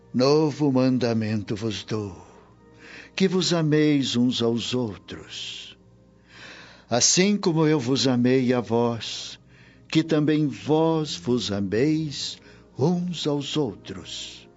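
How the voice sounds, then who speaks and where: An actor with a Brazilian accent playing a wise, kindly spirit mentor in a radio drama, solemn and gently preaching. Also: male voice, medium pitch, breathy edge. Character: wise, kindly male spirit mentor consoling his pupil. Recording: muffled audio with music underneath.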